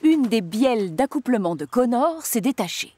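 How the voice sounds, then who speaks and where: A woman's voice: on the high side, very animated, normal speed. A woman giving scripted narration for a French children's cartoon story.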